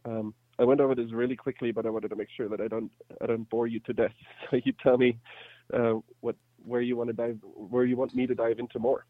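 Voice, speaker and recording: A man's voice: medium pitch, flat delivery, a little fast, no echo, phone-quality sound. A man in his thirties with a slight German accent, telling his story casually as an interview guest. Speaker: interview guest, startup entrepreneur.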